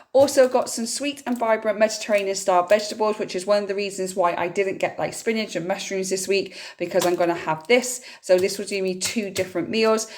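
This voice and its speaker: A British woman chatting casually through a grocery haul vlog, sounding slightly nasal and a little scratchy from a cold. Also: mid-range voice. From United Kingdom. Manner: chatty, informal, explanatory. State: getting over a cold, slightly scratchy throat. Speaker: vlogger.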